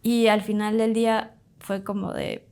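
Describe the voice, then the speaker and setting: A woman in her thirties, originally from Bolivia, speaks as a doctor and podcast guest. Her delivery is chatty and animated, full of quick asides and fillers. Her voice sits at an ordinary middle pitch. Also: smooth. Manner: chatty, animated, fond of "pues" and "literal". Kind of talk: casual interview storytelling.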